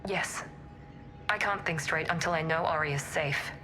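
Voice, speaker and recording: A woman's voice: low voice, moderate pace, sharp tone, faint music behind. A woman playing a guarded, determined operative on the run in a video game, terse and intense. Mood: tense, guarded. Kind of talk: video game cutscene dialogue.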